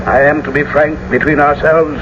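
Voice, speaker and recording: An actor playing a cautious, loyal young peacemaker in a radio drama, earnest and formal. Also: male voice, on the high side, little pitch movement, moderate pace, close to an everyday voice. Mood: frank. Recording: noisy, muffled old radio broadcast recording.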